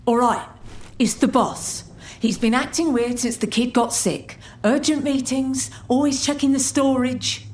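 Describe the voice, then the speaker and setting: An actress playing an uneasy underling in a video game, speaking in a confiding way. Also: female voice, sits high, fairly even intonation, measured pace, a little mellow. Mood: uneasy, conceding. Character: uneasy female underling confiding about her boss. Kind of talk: video game character acting.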